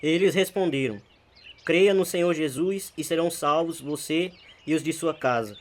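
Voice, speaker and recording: A man's voice: on the high side, moderate pace, lightly acted. A man acting as a humble, troubled hitchhiker in a radio drama, polite and earnest. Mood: polite, curious. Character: humble, troubled male hitchhiker seeking salvation.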